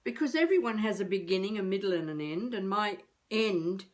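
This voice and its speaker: A woman playing a feisty elderly would-be novelist, rambling wryly and haltingly through a comic monologue as a video diary. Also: medium pitch, expressive, natural voice. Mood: indignant, wry. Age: older. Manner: wry, musing, halting, talking to herself.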